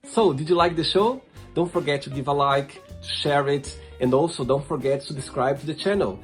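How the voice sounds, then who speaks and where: A man giving an upbeat scripted outro, addressing viewers directly. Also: medium pitch. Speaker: show host outro. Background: faint guitar music.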